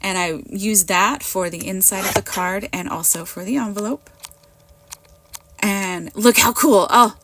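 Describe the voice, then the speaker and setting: Hoarse woman chatting through a craft voiceover. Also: medium pitch, a little slow, background noise. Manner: rambling, chatty, self-mocking. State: hoarse from a cold. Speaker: card maker.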